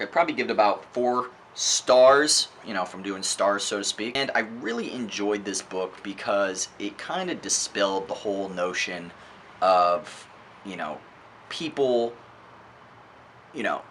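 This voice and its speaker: Bright, forceful man in a casual vlog. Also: fairly low pitch, a little hiss or hum. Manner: emphatic, repeats words for effect, swears freely. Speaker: vlog host reviewing books. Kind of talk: casual opinionated video monologue.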